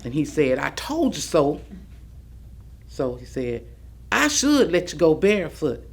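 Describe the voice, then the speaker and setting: An actress as an elderly grandmother tells her life story on stage. Her pitch swings widely as she reminisces, folksy and reflective. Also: sing-song, unhurried, husky, heavily acted. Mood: animated, wry. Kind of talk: dramatic staged reading of oral history.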